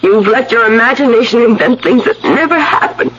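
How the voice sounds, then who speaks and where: An actress playing a frightened, accused wife in a radio drama, pleading breathlessly with drawn-out cries. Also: female voice, on the high side, natural voice. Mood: indignant, alarmed. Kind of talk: 1970s radio drama acting. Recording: noisy, dull old radio broadcast.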